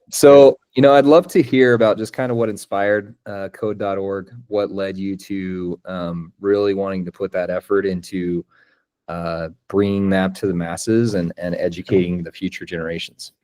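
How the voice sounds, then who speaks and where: A man's voice: low-pitched, fairly even intonation, moderate pace, dark tone. A man in his thirties hosting an interview podcast, friendly and enthusiastic, with many fillers. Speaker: podcast host.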